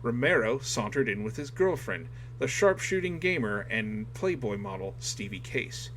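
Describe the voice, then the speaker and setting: A man with a mid-pitched voice reads aloud in the manner of a dramatic audiobook. His delivery is scripted narration, carried on a recording with noticeable noise and hum behind it. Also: sing-song, moderate pace, fairly bright tone. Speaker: audiobook reader.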